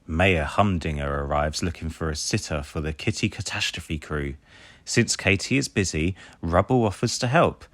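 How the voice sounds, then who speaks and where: A man reading a children's story aloud in an expressive way, acting out the dialogue. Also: low-pitched, normal speed, no echo. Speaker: storybook reader. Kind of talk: scripted children's story narration read aloud.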